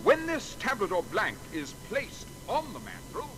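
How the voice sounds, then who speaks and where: An actor playing a stiff, officious official formally reading out a technical document in an audio drama. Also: male voice, sits high, huge pitch swings, measured pace, fairly bright tone, in a large hall, soft background music, exaggerated voice, poor audio. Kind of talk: formal read-aloud.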